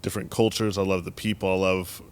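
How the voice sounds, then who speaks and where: Young guy with a lowish voice, church creative director, excited storyteller. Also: fast talker, clear, resonant tone. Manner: enthusiastic storyteller, acts out quotes. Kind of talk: casual podcast chat.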